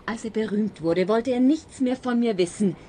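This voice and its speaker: A woman's voice: on the high side, normal speed, warm tone, a little hiss or hum, natural voice. An actress playing a frustrated, defensive female artist under arrest in a comic radio play. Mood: defensive, hurt.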